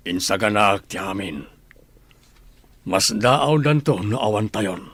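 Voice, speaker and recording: A man with an Ilocano accent and a husky voice playing a confident, scheming village father in a radio comedy drama. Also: lowish voice, expressive, slow pace, fairly bright tone, no echo, heavily acted. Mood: reassuring.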